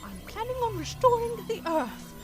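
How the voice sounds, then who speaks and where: A woman playing a determined, affectionate, resourceful adventurer in an audio drama, expressive and commanding. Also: very high voice, moderate pace, over music, full character voice. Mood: resolute. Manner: expressive, thinking aloud, commanding.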